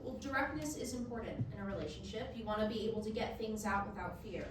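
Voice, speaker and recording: A woman playing a patient, probing therapist in staged reading dialogue, with a measured, questioning manner. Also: medium pitch, lightly acted. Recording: echoey room from far off, background noise.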